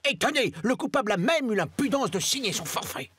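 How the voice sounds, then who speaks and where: Swooping, fretful cartoon headmaster. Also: male voice, extremely high pitch, very animated, fairly quick, noisy background. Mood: flustered, indignant. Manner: melodramatic, officious. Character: flustered, fretful, pompous male school headmaster. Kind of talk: French animated series dubbing.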